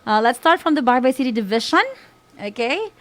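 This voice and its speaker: A woman's voice: a little high, animated, fairly quick, a bit bright. A woman with a Filipino accent informally co-hosting an online radio class. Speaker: radio school co-host.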